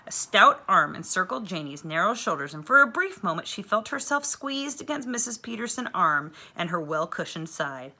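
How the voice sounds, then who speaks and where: A woman reads a children's novel aloud with clear, expressive storytelling. Her voice is on the lower side yet bright and forceful. She gives each sentence an even, careful shape. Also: moderate pace, hardly any pauses.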